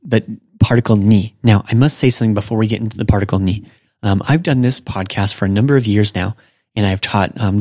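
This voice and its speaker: A man hosting a podcast, giving a casual language lesson and slowly repeating example phrases. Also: on the low side, warm tone, no echo, clean studio sound. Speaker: podcast host and Japanese teacher.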